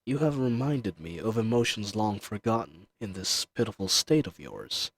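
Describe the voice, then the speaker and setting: A man's voice: fairly low pitch, very animated, husky, heavily acted. A man playing an ancient dragon in roleplay audio, imperious and teasing, dangerous yet tender, speaking to the listener in formal, old-fashioned phrases. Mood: musing, intimate. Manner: slow, haughty, teasing, formal archaic diction.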